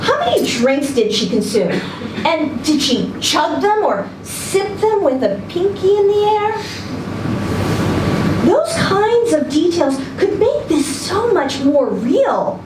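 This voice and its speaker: A woman's voice: very high voice, sing-song, slow, pitched above the performer's own voice, full character voice. A woman voicing an earnest, hesitant young female student giving tentative, questioning critique in a story reading. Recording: echoey noisy room, distant event video mic.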